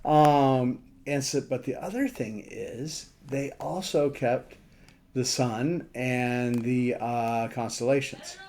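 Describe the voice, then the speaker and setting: A man giving a casual screen walkthrough as a radio hobbyist, thinking aloud in a halting way. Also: mid-range voice, darkish tone. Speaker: amateur radio operator presenting a map demo.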